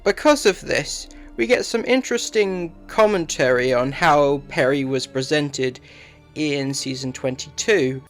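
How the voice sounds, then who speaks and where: A man's voice: fairly high pitch, unhurried, a bit bright, faint music behind. A man giving a scripted review narration as a reviewer.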